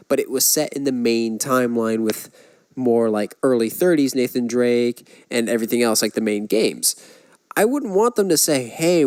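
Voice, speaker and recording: A man's voice: medium pitch, darkish tone, dry recording. A man co-hosting a podcast, casually discussing films and giving his opinions with examples. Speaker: podcast co-host.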